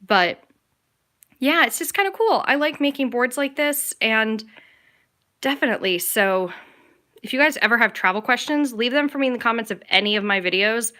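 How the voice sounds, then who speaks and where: A woman's voice: on the high side, lively intonation, moderate pace, bright, forceful tone. A young adult American woman chatting casually and enthusiastically to viewers as a travel vlogger, in a rambling way. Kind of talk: casual live Q&A chat to viewers.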